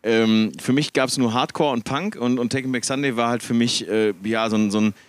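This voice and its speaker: A man with a Ruhr German accent spins a long, meandering concert anecdote and restarts his sentences as he talks. His voice sits at an ordinary middle pitch. The recording is dry and close, with no room echo. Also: fairly quick. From Ruhr area, Germany. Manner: rambling, self-correcting, animated anecdotes. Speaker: podcast participant. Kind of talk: casual storytelling chat.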